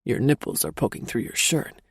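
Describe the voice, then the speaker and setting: A woman narrator voicing a confident, playful adult man, the husband, in a low teasing drawl for an audiobook. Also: normal speed, no echo, clean studio sound, natural voice. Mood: teasing, commanding. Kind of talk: audiobook character voice.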